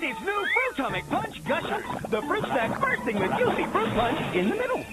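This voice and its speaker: High, very animated woman in an advert. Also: moderate pace. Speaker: commercial announcer. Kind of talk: upbeat snack advertisement. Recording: TV advert with loud music. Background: loud music.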